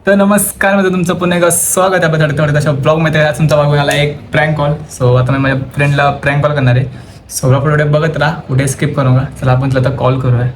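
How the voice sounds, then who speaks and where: A man's voice: fairly high pitch, flat delivery, quick pace. A young man with a Maharashtrian accent chatting casually and teasingly as a vlogger, with slangy speech sprinkled with English words. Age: young adult. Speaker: vlogger making a prank call. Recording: clearly noisy phone-shot vlog audio.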